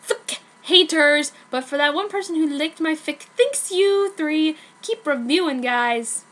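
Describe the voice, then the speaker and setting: A woman voicing an excitable, defensive teenage fan fiction author who blurts out emphatic asides. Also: sits high, measured pace, pitched above the performer's own voice. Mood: gushing, defensive. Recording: noticeable background noise, amateur recording.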